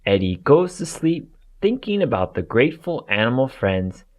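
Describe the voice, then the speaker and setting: A man narrating a children's storybook aloud in an expressive way for young listeners. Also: mid-range voice, moderate pace.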